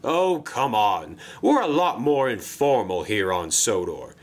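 A man's voice: lowish voice, big pitch swings, measured pace. A man playing a pompous, polite older railway controller in a children's story, formal and effusive. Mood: hearty, eager. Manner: formal, effusive, flustered when surprised.

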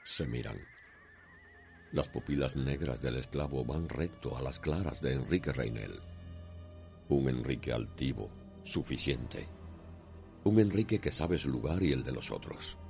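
A man narrating a radio soap opera in a solemn, deliberate, poetic storytelling style. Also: very deep voice, vocal fry, darkish tone, faint music behind.

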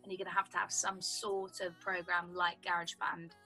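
A young British woman with a husky voice, a songwriter guest chatting casually over a video call from home. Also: fairly low pitch, little pitch movement, brisk, bright, forceful tone, faint music behind. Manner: chatty, explains with lots of "like" and "do you know what I mean". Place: home room indoors. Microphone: video call webcam.

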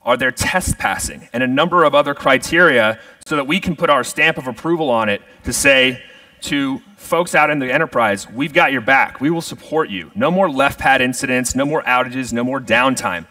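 A man's voice: medium pitch, normal speed, sharp tone, no room sound, recorded from a distance, low-quality recording. A middle-aged man giving a polished, upbeat product keynote on a large conference stage. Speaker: keynote speaker.

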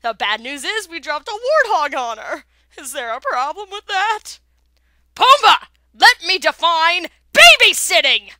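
A woman puts on an excitable little male cartoon meerkat. He is brash and exasperated, high and bright, with a chummy wisecracking patter. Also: no echo, clean studio sound, full character voice. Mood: flustered, exasperated. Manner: brash, fast-talking, exasperated.

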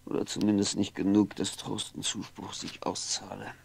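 An actor playing a lonely, brooding man in a radio drama monologue, musing haltingly to himself, wistful and wry, at times dropping to a whisper. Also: on the low side, level tone, vocal fry. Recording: noisy 1960s radio recording, faint hum.

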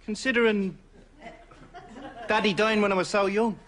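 High-voiced exasperated Irish son. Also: male voice, steady tone, moderate pace, fairly bright tone, a faint crowd. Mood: earnest. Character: matter-of-fact adult Irish son, mildly exasperated. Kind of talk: studio sitcom acting. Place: indoor sitcom kitchen set.

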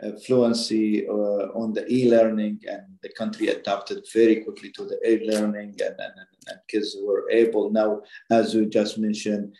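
A middle-aged man giving an unscripted webinar presentation haltingly, over a roomy, echoing video call. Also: lowish voice, flat delivery, warm tone. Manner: hesitant, repeats words. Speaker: education official, webinar panelist. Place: indoor office on a video call.

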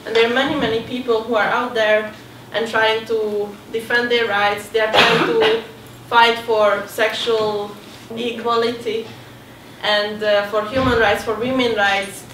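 A young woman student presenting to a seated audience in a dim hall, talking earnestly with many pauses and fillers. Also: a little high, fairly bright tone. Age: young adult. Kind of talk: informal panel talk. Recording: roomy far-off hall recording, noisy.

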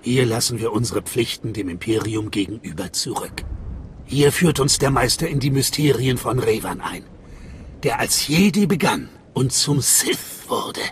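A man's voice: medium pitch, very animated, a little hiss or hum, lightly acted. Man acting a solemn, reverent cult guide, swooping intonation. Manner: measured, reverent, mystical delivery. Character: solemn male cult guide welcoming initiates. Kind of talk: dramatic video game voice acting in German.

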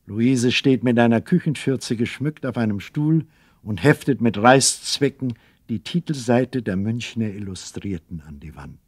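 Narrator, male: children's radio play, wry, expressive, dry close sound. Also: medium pitch, a little slow, husky, no echo.

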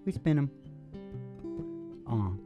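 A middle-aged man hosting a music show with playful, unhurried, rambling stage patter full of joking asides. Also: mid-range voice, lively intonation, lo-fi sound. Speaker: host of a music and comedy show. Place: small dim curtained stage room. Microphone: stand microphone. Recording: guitar playing behind the voice. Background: acoustic guitar.

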